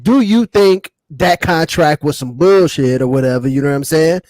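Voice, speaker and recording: A man with a fairly high voice talks casually on a panel show, in a close, dry sound. He explains points step by step in slangy language and often tags them with a questioning "right?". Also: animated, normal speed, darkish tone, dry recording. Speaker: podcast panelist.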